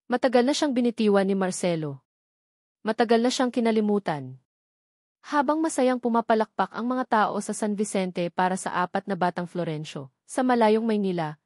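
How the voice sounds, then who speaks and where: A woman's voice: medium pitch, darkish tone, no echo, clean studio sound. A woman reading a sentimental love story as scripted narration.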